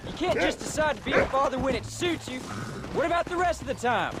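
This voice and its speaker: A man's voice: very high voice, animated, brisk, loud music behind the voice. Sullen American teenage farm boy. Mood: resentful. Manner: defensive, sulky. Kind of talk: dramatic video game acting.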